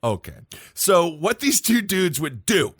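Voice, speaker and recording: A man with a Midwestern accent tells news stories in a jokey, rambling manner. His pitch swings widely and sits a little high. The sound is dry and close. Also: big pitch swings, a little slow, fairly bright tone, husky, no room sound. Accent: Midwestern American. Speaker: podcast co-host. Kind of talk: casual comedic chat.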